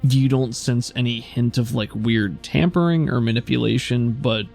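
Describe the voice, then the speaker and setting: A man narrating a tabletop roleplaying game as game master, improvising descriptions in a casual, halting way. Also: medium pitch, vocal fry, darkish tone. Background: faint music.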